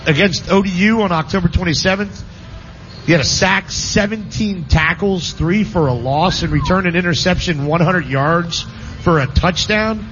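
A man's voice: high voice, a bit bright, faint music behind. A man hosting a live sports radio show, joking with his co-hosts and reading sponsor copy. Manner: jokey, reads sponsor copy briskly. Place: radio broadcast set at a casino. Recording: slightly dull radio studio audio, faint noise.

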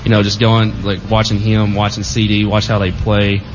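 A young man, a football player guest, talking casually in an interview, halting and full of fillers. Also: on the low side, monotone, background noise. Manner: laid-back, halting, many fillers. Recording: dull lo-fi audio.

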